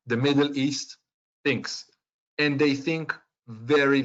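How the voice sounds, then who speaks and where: A young man giving an informal lecture to students, talking conversationally and often pausing with ums and restarts. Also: medium pitch, a bit bright. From Israel. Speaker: lecturer and podcast co-host. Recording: dull lo-fi recording.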